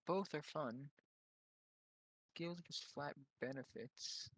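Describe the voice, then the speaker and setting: A man's voice: fairly high pitch, slow pace, a bit bright. A man chatting casually as a player in a tabletop game. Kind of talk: casual chat.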